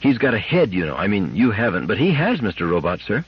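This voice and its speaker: A man's voice: on the low side, sing-song, fast talker, breathy edge, no echo, dull audio, exaggerated voice. A man voicing a small, determined girl in a storybook, childlike, very polite and earnest. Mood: eager, earnest. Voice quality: treble, childlike.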